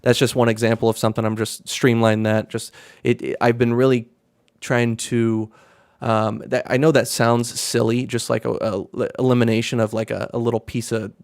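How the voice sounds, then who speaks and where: A young man hosting a casual live stream, talking in a rambling, monotone way with many fillers and restarts. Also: mid-range voice, moderate pace, creaky, no room sound, clean studio sound. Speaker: live stream host, card seller.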